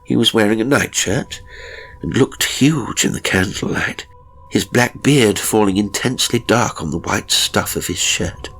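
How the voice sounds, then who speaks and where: A man narrating a first-person horror story dramatically, in a measured, suspenseful way with deliberate pauses. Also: fairly low pitch, fairly bright tone, poor audio. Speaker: audiobook narrator.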